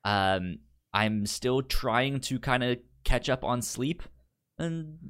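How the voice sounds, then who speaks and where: A podcast co-host in relaxed, casual conversation. The pitch moves around in a very lively way at a middle register. Words and first syllables often get repeated in a stammer. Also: takes it slowly, clean tone. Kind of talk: casual film podcast chat.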